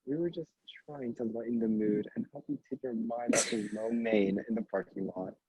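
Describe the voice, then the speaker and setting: A man reading lines casually and hesitantly, with deadpan asides. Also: lowish voice, normal speed, crowd noise, poor audio. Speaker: co-reader voicing a story character. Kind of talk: casual amateur read-aloud with asides.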